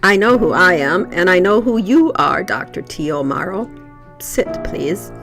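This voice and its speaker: A woman's voice: fairly low pitch, expressive, a little slow, over music. A woman playing a curt, formal female crime boss in an audio drama. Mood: cold, impatient. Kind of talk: amateur audio drama acting.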